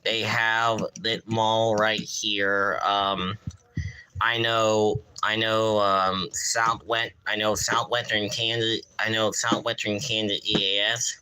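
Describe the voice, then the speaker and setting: Slow, halting man with a bright voice. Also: fairly low pitch, flat delivery, clean tone, rough recording. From United States. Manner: halting, repeats phrases. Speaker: YouTuber showing a weather radio collection. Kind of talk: casual live-stream show-and-tell.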